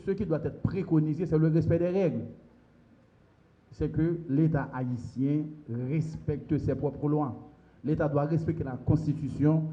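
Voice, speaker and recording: A man commenting in a measured, deliberate way on the rule of law. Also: on the high side, highs cut off.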